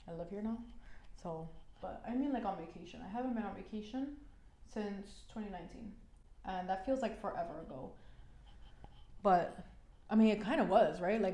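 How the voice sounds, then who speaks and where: A woman's voice: mid-range voice, mellow. A young woman in her twenties chatting frankly while doing her makeup, her voice congested from a cold, with lots of "like" fillers. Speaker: beauty vlogger. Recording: echoey room.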